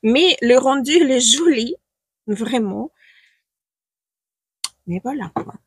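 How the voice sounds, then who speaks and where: A woman with a Ukrainian accent talks viewers through her makeup at home, friendly and a little hesitant as she looks for her words in French. Her voice sits fairly high and sounds slightly breathy. She speaks slowly, and the recording is dry and close. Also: lively intonation, no room sound. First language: not French. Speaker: beauty stylist and makeup vlogger. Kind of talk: casual makeup tutorial talk to camera.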